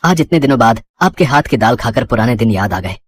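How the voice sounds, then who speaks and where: A man's voice: mid-range voice, animated, brisk, husky. A man voicing a troubled, remorseful young son in a moral story, earnest and plaintive.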